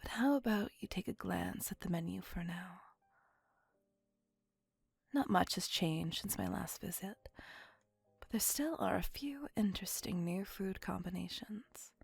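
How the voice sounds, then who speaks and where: Woman playing a breathy, whispering ancient vampire lady. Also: fairly low pitch, no echo, heavily acted. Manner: formal old-fashioned wording, languid, doting. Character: ancient female vampire, possessive, tender, nostalgic. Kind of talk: ASMR roleplay, intimate scripted monologue. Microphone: close microphone.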